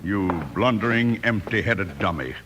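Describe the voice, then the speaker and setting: An actor playing a scornful, bullying western villain in a radio drama, barking orders with an American accent. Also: male voice, on the low side, expressive, normal speed, music in the background, close to an everyday voice. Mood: scornful, vengeful. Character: ruthless, bullying male outlaw boss in the Old West. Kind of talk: 1950s western radio acting.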